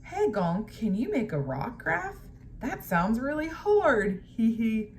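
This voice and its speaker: A woman's voice: fairly high pitch, big pitch swings, takes it slowly, slight background noise, pitched above the performer's own voice, exaggerated voice. A woman voicing an eager, friendly animal character from a children's picture book, with questioning storybook dialogue. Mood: eager, curious.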